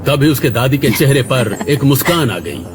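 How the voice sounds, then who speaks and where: A man's voice: medium pitch, lively intonation, moderate pace, background noise. A man narrating a dramatic scripted audio story.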